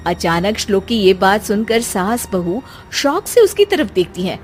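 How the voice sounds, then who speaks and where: A woman's voice: medium pitch, very animated, a little slow, faint music behind. A woman reading scripted story narration as the narrator.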